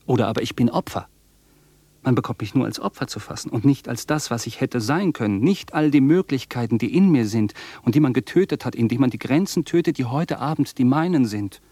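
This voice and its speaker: A man's voice: medium pitch, animated, fairly quick, mellow, slightly rough, no echo. An actor playing an obsessive, defiant, imperious rich man in a German radio drama, declaiming and repeating his commands.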